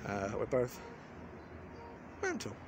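A man's voice: medium pitch, wildly swooping pitch, takes it slowly, background noise. A man in his forties rambling casually through a walk-around vlog in a wry, understated, chatty way, recorded on a handheld phone. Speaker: vlogger. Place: outdoors in a garden. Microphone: handheld phone at arm's length.

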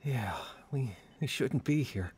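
Husky, weakened younger brother. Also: male voice, medium pitch, lively intonation, takes it slowly, darkish tone, full character voice. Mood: surprised. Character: injured younger brother in hospital, gentle and forgiving. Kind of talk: radio drama acting.

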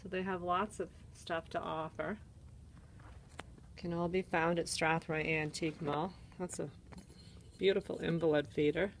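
A woman with a Canadian accent chats her way through an antique mall, naming items and prices. Her voice sits low and she takes her time. It is recorded on a handheld phone, with faint hiss and shop ambience behind her. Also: steady tone, measured pace, long pauses. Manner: chatty, listing items and prices. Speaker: shopper filming an antique mall booth. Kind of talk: casual walk-through narration. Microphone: handheld phone camera.

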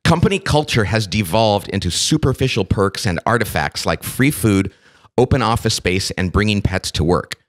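Male podcast host, nursing a cold, relaxed and self-deprecating, conversational. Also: lowish voice, normal speed. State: has a cold. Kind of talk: casual podcast chat.

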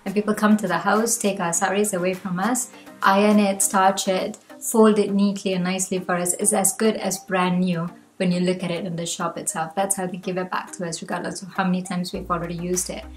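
A young woman from Malaysia, talking to the camera from a room at home. She shares her own reflections in an earnest, chatty way, and her pitch rises now and then. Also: level tone, fairly quick, faint music behind. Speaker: vlogger. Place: home room with bookshelves, indoors.

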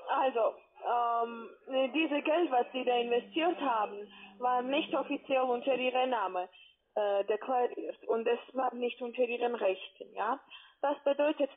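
Casting: woman call-centre agent, non-native speaker with foreign accent, fairly high voice, halting explanations with checking tags, thin telephone sound. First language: not German, speaks it as a second language. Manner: hesitant, tags phrases with questioning checks. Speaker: call-centre caller posing as financial adviser. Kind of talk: phone sales pitch, explaining. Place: phone call. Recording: tinny narrow-band phone line.

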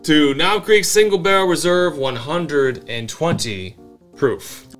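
An American man in his thirties co-hosting a show, narrating comic history and bantering in a dry, deadpan manner. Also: fairly high pitch, slow. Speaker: co-host of a whiskey review show. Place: small indoor home-style bar set. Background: background music with guitar.

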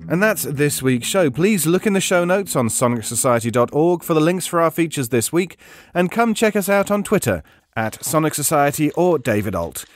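A man's voice: fairly high pitch, very animated, normal speed. A man hosting a podcast, reading a scripted sign-off. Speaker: podcast host.

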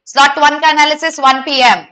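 A woman's voice: sits high, fairly even intonation, brisk, bright, forceful tone. A young woman with an Indian accent teaching an online exam prep lesson to live students, instructional and slipping between English and Hindi. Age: young adult.

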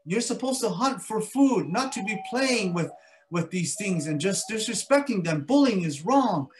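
Man doing a tiny bold mosquito, high shrill voice, loud, stern scolding, warning tone, storytelling creature. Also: expressive, normal speed.

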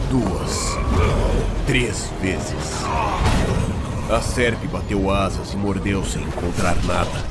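A man's voice: deep voice, very noisy. A man with a Brazilian accent narrating a scripted fantasy drama in a solemn, theatrical storytelling manner. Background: loud dramatic music.

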